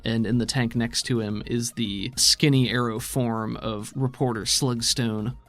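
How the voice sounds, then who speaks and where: A man's voice: medium pitch, fairly even intonation, unhurried, faint music behind. A man working as game master, improvising narration for a tabletop role-playing game.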